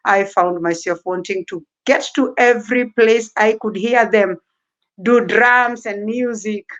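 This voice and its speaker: An earnest woman with a Kenyan accent tells her story at an ordinary middle pitch, circling back over the same phrases. The recording is dull and lo-fi. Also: a little slow. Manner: earnest, repetitive, fervent. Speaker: guest. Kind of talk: interview storytelling. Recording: muffled lo-fi audio.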